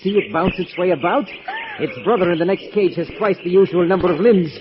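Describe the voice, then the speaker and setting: Lo-fi old radio drama, male actor as mad scientist villain, higher-pitched, pompous, sadistic, gloating, theatrical. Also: fairly quick, a crowd in the background, natural voice. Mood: sinister relish. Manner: pompous, theatrical, relishing menace. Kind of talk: 1940s radio thriller acting. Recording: dull lo-fi old-time radio recording.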